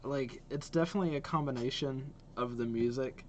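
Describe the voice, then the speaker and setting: A man's voice: medium pitch, fairly quick, darkish tone, slight background noise. A young man giving casual, enthusiastic reaction commentary as a video host. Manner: enthusiastic, repetitive praise. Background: faint music.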